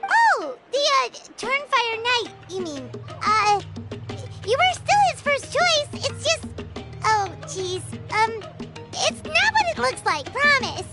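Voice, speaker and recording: A woman voicing a small, excitable, chatty fairy companion in a video game, squeaky and childlike, with exclamatory, dramatic delivery. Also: slow, heavily acted. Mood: flustered. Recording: loud game music behind the voice. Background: loud music.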